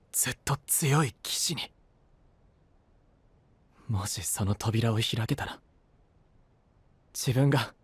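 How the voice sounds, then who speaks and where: A man playing an earnest, self-reproaching young apprentice knight in an anime-style audio drama, with a breathy, husky voice and intense delivery. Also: a little high, no echo. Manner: intense, brooding, emotionally swinging delivery.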